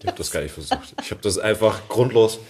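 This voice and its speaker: A man's voice: lowish voice, expressive, fast talker, darkish tone, slight background noise. A man hosting a podcast in a casual chat, talking informally and with plenty of slang. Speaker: podcast host.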